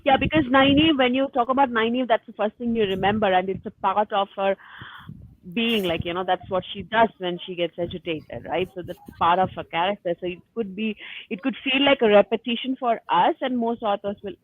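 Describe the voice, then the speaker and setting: A woman's voice: medium pitch, brisk, no room sound. Woman chatting on a thin, phone-like line. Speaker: book discussion panelist. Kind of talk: casual group book discussion. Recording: phone-like narrow-band call audio.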